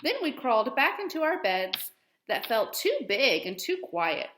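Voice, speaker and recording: Female schoolteacher for children's story reading: highish voice, expressive phrasing, roomy echoing sound. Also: measured pace. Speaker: teacher reading aloud to pupils. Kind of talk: storybook read-aloud for children.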